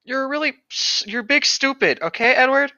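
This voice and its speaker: A woman with a bright, lively voice that swings around in pitch, recorded close and dry. She banters playfully and crudely as a podcast co-host, slipping into reading aloud. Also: moderate pace, no echo, lo-fi sound. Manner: playful, crude, sweary joking. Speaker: co-host of a comedic read-along podcast.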